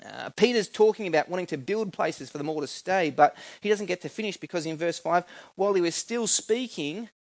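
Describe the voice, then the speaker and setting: A man's voice: sits high, lively intonation, brisk, hardly any pauses, no echo. A man with an Australian accent preaching a sermon in an informal, conversational way, often putting questions to his listeners. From New South Wales, Australia. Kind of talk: sermon with scripture reading and personal anecdotes.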